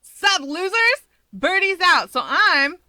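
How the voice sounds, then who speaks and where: A woman voicing a brash, teasing young rabbit-woman hero, loud, cocky and chatty in an audiobook-style story. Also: very high-pitched, big pitch swings, slow, no echo, pitched above the performer's own voice, heavily acted.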